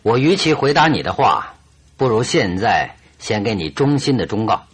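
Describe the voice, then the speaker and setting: A man playing a shrewd, composed detective in a radio drama, speaking in a measured, cryptic and firm way. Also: lowish voice, sing-song, husky. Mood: cryptic, grave.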